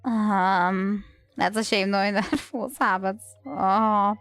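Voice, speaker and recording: A woman's voice: medium pitch, takes it slowly, fairly bright tone, no room sound, soft background music. A woman livestreaming a game with casual, rambling commentary, playful and self-deprecating.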